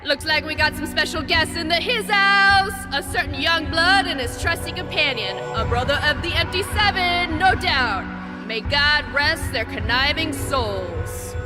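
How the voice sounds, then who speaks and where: Game role: bloodthirsty female DJ, high, brash hype-announcer delivery over loud music. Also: lively intonation, a little slow. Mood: hyped, taunting. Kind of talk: dramatic video game cutscene acting.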